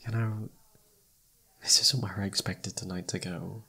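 Man playing low, soft-spoken sleepy boyfriend. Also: steady tone, measured pace, creaky, slightly rough, exaggerated voice. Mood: tender, sleepy. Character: affectionate sleepy young male best friend turned lover. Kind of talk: intimate ASMR boyfriend roleplay.

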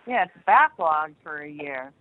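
A woman's voice: low-pitched, animated, a little slow. Woman, middle-aged or older, casual family phone chat, hesitant delivery, thin telephone sound. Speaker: mother on a phone call, minding a baby. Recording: tinny, band-limited phone line.

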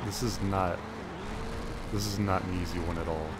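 A young man giving casual, excitable commentary while playing a video game, talking to himself through a headset mic. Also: low voice, normal speed, very noisy. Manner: excitable, self-mocking, talks to himself. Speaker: gaming YouTuber. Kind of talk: casual let's-play commentary.

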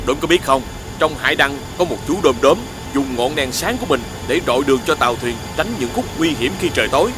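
A responsible father dog in a cartoon, played by an actor, talking fast and instructively. The voice has a middle pitch and is firm but kindly, with loud music behind it. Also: animated, natural voice. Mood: calm, instructive. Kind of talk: cartoon voice acting.

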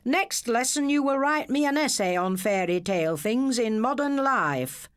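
A woman's voice: a little high, animated, clear, resonant tone, no echo, studio quality, pitched above the performer's own voice. Woman doing an earnest English schoolmaster. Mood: insistent. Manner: deliberate, lecturing. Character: rambling, eager male schoolteacher.

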